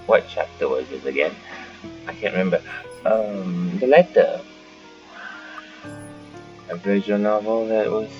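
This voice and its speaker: A man hosting a gaming channel, giving casual, halting, rambling playthrough commentary. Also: on the low side, a little mellow. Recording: dull lo-fi mic. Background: game music.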